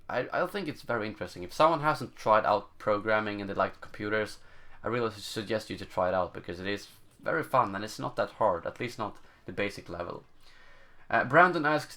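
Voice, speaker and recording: Swedish-accented young man, halting live-stream chat. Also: fairly low pitch, sharp tone. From Sweden. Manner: halting, searching for words, many fillers. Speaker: gaming channel host.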